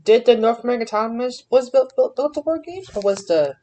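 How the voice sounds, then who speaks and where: A man co-hosting a casual wrestling podcast, excitable and stammering, often repeating his phrases. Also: extremely high pitch, fast. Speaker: podcast co-host.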